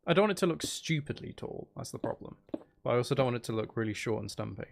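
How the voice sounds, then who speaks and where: A man's voice: medium pitch, expressive, quick pace, gravelly. A man giving casual livestream commentary on a game, thinking aloud to his viewers in a musing way. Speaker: game streamer.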